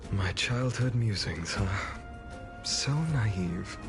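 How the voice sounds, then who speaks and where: A man's voice: lowish voice, slow, gravelly. A man playing a brooding, world-weary warrior in a video game, wry and grave. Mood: wry, resolute. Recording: loud music over voice. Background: loud music.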